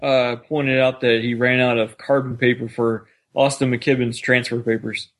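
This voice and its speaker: A man's voice: mid-range voice, little pitch movement, moderate pace, a bit bright. A man with a mid-range voice co-hosts a podcast. His delivery is casual chat, conversational and unscripted. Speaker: podcast co-host.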